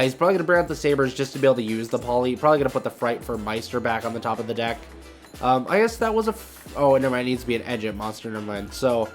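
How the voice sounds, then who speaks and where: A young man hosting a gaming video, giving casual, rambling commentary as he thinks aloud. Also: mid-range voice. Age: young adult.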